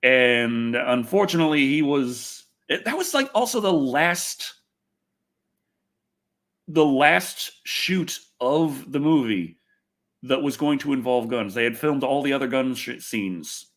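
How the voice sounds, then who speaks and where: A man with a medium-pitched voice hosts a casual livestream. He rambles through his thoughts in a halting way, doubling back on phrases. His wording is blunt and informal. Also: lively intonation, fairly bright tone. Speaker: podcast host. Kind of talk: casual livestream chat on film news.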